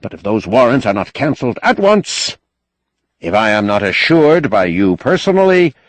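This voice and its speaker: A man's voice: mid-range voice, big pitch swings, normal speed, no room sound, close to an everyday voice. A man voicing a wily, indignant, eloquent doctor with pompous, ornate oratory in an audiobook. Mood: pleading, insistent. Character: wily, indignant, eloquent male doctor, master of his house. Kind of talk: audiobook character acting.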